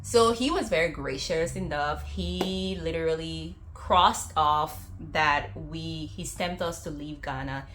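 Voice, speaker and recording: A young woman from Liberia, a travel vlogger, talking casually to viewers and telling stories with animated quoted lines. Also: fairly low pitch, slight background noise. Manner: chatty storytelling, acts out quotes with lively jumps.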